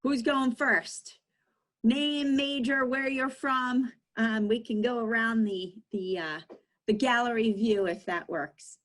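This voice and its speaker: A woman's voice: a little high, slow pace, a bit bright. Hesitant woman moderating a session. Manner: hesitant, many ums. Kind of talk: hosting a live chat.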